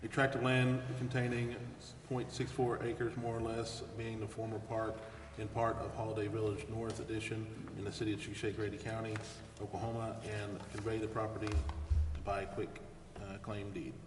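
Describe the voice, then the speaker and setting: American male, official presiding over civic business, monotone delivery, roomy recording with clear noise. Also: fairly low pitch, moderate pace, hardly any pauses. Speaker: presiding mayor at a council meeting. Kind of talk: formal meeting procedure. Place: council chamber. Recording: noisy echoey hall, far from mic.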